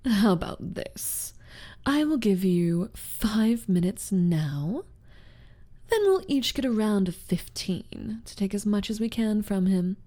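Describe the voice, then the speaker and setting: Slow, breathy, smug succubus rival played by a woman. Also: medium pitch, very animated, mellow. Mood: smug, coaxing. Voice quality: breathy, half-whispered in places. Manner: drawling, teasing, taunting. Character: smug, teasing, seductive rival succubus, sly and confident. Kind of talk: ASMR audio roleplay acting.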